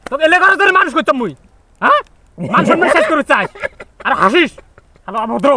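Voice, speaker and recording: A man's voice: very high voice, big pitch swings, quick pace, pushed and bright, heavily acted. A man playing an angry rural father from a comedy skit, scolding and repeating questions in a North Bengal village accent. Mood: furious, threatening. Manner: hectoring, repeats questions insistently. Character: angry scolding village father confronting his stoned son. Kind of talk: amateur comedy skit acting.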